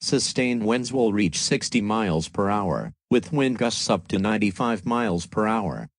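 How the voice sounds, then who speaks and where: A man plays a weather service announcer reading a scripted forecast. The voice sits fairly low and is recorded dry, without room echo. The delivery is formal and matter-of-fact. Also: normal speed.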